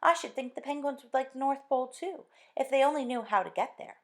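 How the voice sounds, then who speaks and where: A woman's voice: high-pitched, fairly quick, voice pushed up, lightly acted. A woman reading aloud and voicing an eager middle-aged husband who dreams of polar adventure, talking earnestly and enthusiastically.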